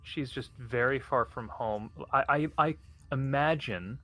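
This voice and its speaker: A man at a tabletop game, describing his character in a halting way, often restarting his words. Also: medium pitch, bright, forceful tone. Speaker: player. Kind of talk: casual chat.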